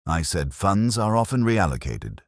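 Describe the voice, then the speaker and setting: A man voicing a cold, evasive older male town official in a measured, formal, condescending way. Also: sits low, very animated, no echo, clean studio sound, voice pushed up. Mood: guarded, condescending.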